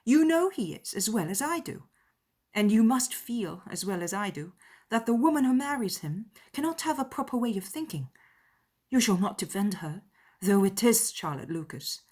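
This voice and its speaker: A woman voicing a spirited, opinionated young woman in a dramatized period novel, arguing emphatically with deliberate stresses. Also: medium pitch, lively intonation, a little mellow, natural voice. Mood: indignant.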